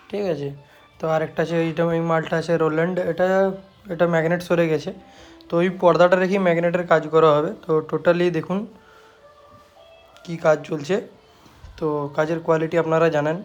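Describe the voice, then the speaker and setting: A man with a West Bengal Bengali accent, a repair shop owner talking casually to viewers, repeating himself and often adding confirming tags. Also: on the high side. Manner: repetitive, habitual confirming tags. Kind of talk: casual shop video, showing work to viewers.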